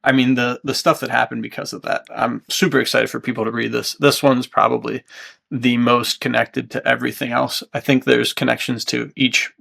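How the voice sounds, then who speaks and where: A man, an author on a podcast, talking casually in an upbeat, informal, excitable way. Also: medium pitch, normal speed, creaky. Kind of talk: casual chat.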